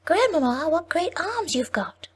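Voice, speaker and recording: A woman voicing an innocent little country girl in a storybook reading, high and sing-song. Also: big pitch swings, measured pace, full character voice. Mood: wondering.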